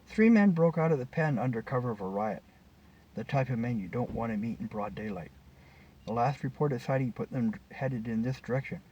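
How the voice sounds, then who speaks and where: Stern, dutiful cop, read by a man, official and admonishing storybook role. Animated, a little off-mic. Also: medium pitch, a little fast, breathy edge, lo-fi sound. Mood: grave. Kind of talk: short story dialogue read aloud. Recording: distant mic with faint background noise.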